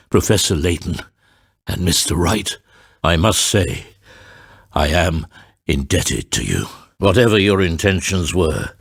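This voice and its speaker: An actor playing a grave, theatrical middle-aged father and storyteller in a video game, speaking in a deliberate, formal and grandiose way with a gravelly, husky voice. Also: sits low, no echo, studio quality, exaggerated voice. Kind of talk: dramatic video game voice acting.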